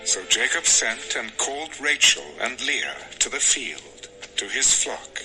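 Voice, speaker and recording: A man's voice: medium pitch, very animated, slow pace, sharp tone, faint music behind, poor audio. A man narrating scripture from a script as the narrator of an audio drama.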